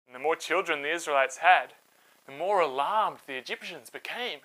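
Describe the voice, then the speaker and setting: Male storyteller and host for children's narration: animated, bright, dramatic, clear phrasing. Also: fairly high pitch, normal speed, poor audio.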